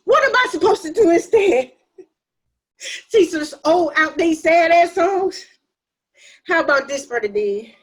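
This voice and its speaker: An actress playing a sarcastic, irritable daughter in a family dramedy, with a theatrical, exasperated manner. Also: female voice, very high-pitched, expressive, a little slow, pauses often. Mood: mocking, exasperated. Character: sarcastic, irritable adult daughter mocking mournful hymns. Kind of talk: dramatic play reading.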